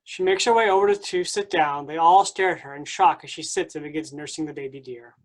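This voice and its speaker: A woman's voice: low-pitched, brisk. A woman reading aloud and hosting at a staged play reading. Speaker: stage-directions reader and moderator.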